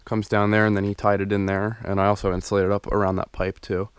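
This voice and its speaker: Rambling low-voiced man touring his basement. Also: completely flat delivery, quick pace, clean tone. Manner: rambling, many ums and restarts. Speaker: DIY home renovation vlogger. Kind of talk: casual walkthrough narration. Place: unfinished basement under renovation. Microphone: handheld camera mic, filming as he walks.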